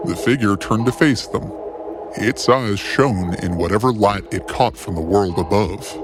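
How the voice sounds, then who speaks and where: A man narrating a scripted story in a measured, deliberate, dramatic way. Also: fairly low pitch, background noise.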